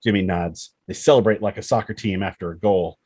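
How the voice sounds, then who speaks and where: A man's voice: low-pitched, a little fast, a little mellow, breathy edge, no echo. A man reading stage directions as the narrator of a screenplay table read.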